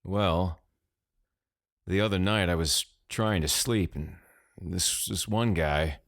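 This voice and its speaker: Man playing a hesitant, low-voiced young guy. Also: slow, a bit bright, no room sound, studio quality, exaggerated voice. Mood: reluctant. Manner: hesitant, casual, downbeat. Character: hesitant, weary young man, prankster's friend.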